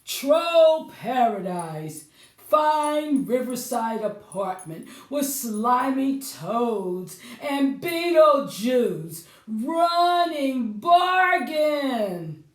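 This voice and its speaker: A woman's voice: sits high, sing-song, extremely slow, full character voice. A woman voicing a grumpy, tired male storybook troll with emphatic, threatening delivery, recorded in an echoey room. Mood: reading aloud. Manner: emphatic, threatening rhymed declarations.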